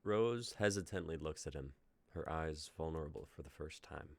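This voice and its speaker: Very deep-voiced man reading directions. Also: normal speed, gravelly, darkish tone, no room sound. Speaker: narrator reading stage directions. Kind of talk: reading scripted narration aloud.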